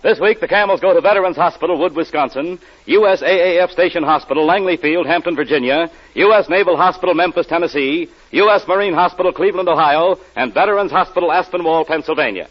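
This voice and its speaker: A man's voice: a little high, quick pace, few pauses. A man reading out a sponsor announcement in 1940s radio style. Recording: muffled vintage radio broadcast.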